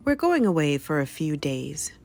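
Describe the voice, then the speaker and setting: A kindly young wife from a children's storybook, acted by a woman. The delivery is gentle and doting, with animated, affectionate rises and falls. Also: sits low, normal speed, creaky, faint music behind, heavily acted. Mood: reassuring.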